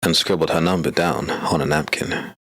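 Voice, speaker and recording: Very deep-voiced male narrator. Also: fairly even intonation, normal speed, noisy background. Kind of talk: scripted audiobook narration.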